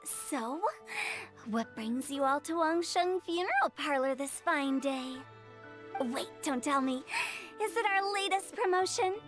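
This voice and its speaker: A woman's voice: high-pitched, lively intonation, a little slow, fairly bright tone. A woman playing a young, eccentric funeral director, theatrical and playful, in a video game cutscene. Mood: grandly welcoming. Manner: theatrical, mischievous, salesy. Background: game music.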